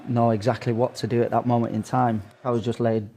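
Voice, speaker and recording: Even-toned male footballer in interview. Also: mid-range voice, fast talker, a little hiss or hum. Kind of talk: reflective documentary interview. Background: soft music.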